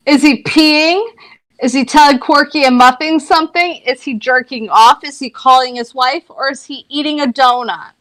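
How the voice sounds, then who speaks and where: A woman's voice: sits high, normal speed, fairly bright tone. A woman in a casual online voice chat, rambling and joking in a mock-motivational way. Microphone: online voice call.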